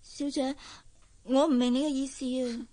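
A woman playing a meek, high-voiced young housemaid. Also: fairly even intonation, moderate pace, mellow, close to an everyday voice, poor audio. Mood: frightened, pleading. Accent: Hong Kong. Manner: timid, deferential, plaintive. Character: meek, humble young housemaid from a poor family. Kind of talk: Cantonese radio drama acting.

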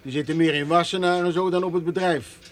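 An actor playing a stern, suspicious middle-aged farmer father in a radio drama, gruff and disapproving. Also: on the high side, steady tone, fast talker, lightly acted.

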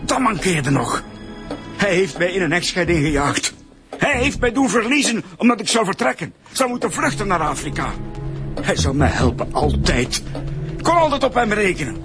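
An anguished Flemish father in a radio play, betrayed and pleading. His voice runs high and swings widely, insisting and repeating over music. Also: big pitch swings, moderate pace. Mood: anguished, angry. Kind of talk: Flemish radio drama acting.

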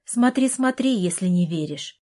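A woman's voice: medium pitch, lively intonation, normal speed, a little mellow, lightly acted. A woman voicing a tired, apologetic older sister, coaxing and pleading with her brother in an audiobook. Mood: exasperated, insistent.

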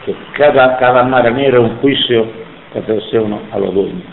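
A man's voice: mid-range voice, brisk, creaky, echoey room, narrow, tinny audio, slight background noise. A man in his seventies from Sicily, speaking Spanish with an Italian accent in an oral history interview, emphatic and indignant. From Sicily, Italy. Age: 70s.

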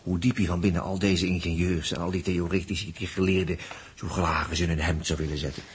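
A man with a Netherlands Dutch accent playing a sly, needling, flirtatious space crewman in a 1960s radio drama, speaking haltingly and repeating words. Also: low voice, flat delivery, a little hiss or hum, natural voice. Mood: insinuating. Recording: muffled 1960s radio recording.

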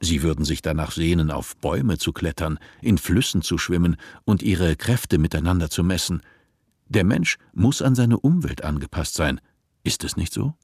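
Male radio drama narrator, deep bass register, matter-of-fact, scripted, dry studio sound. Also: lively intonation, darkish tone, slightly rough, dry recording. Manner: detached, measured, matter-of-fact. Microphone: close studio microphone.

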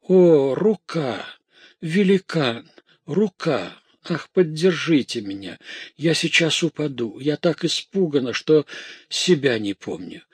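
Man voicing a hysterical young maidservant, shift+ high. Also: animated, normal speed, breathy edge, dry recording. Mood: terrified. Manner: breathless, exclamatory, rambling. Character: hysterical, garrulous young maidservant, frightened and indignant.

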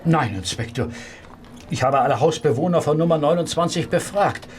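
An actor playing a dutiful, blunt Victorian street constable in a radio play, reporting formally. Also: male voice, on the high side, normal speed, a little hiss or hum, natural voice. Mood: dutiful, shaken. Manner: formal reporting, deferential to superiors. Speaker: actor in an audio drama. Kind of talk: German radio play acting.

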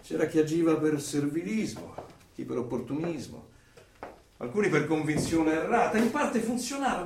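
An actor playing a cold, sadistic torturer of a totalitarian regime, delivering deliberate, pompous, menacing theatrical speeches. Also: male voice, on the high side. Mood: haughty, lecturing. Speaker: stage actor. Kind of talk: theatrical dystopian monologue. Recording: roomy, distant, noisy stage recording.

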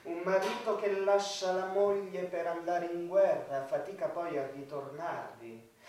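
An actor playing a prim, disapproving cardinal in satirical radio theatre, with a solemn, moralising manner. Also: male voice, high-pitched, measured pace, darkish tone, a little hiss or hum. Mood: polite, moralising. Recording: very echoey, distant microphone.

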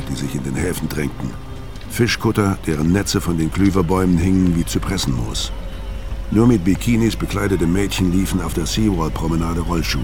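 An actor playing a brooding male criminal who narrates his own story in a radio crime drama, scripted and first-person. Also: low voice. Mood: descriptive. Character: hard-boiled male criminal narrator looking back, gruff and brooding.

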